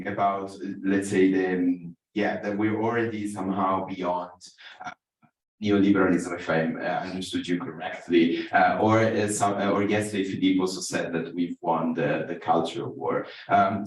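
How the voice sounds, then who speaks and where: A man from Italy, speaking as a conference panelist in a measured way with frequent fillers. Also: low voice. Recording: echoey room, distant mic.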